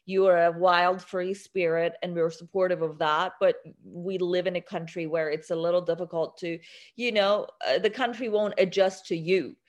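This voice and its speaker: A woman's voice: fairly low pitch, steady tone, moderate pace. A young woman from Iran, an interview guest, speaking earnestly with many restarts. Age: young adult. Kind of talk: interview conversation.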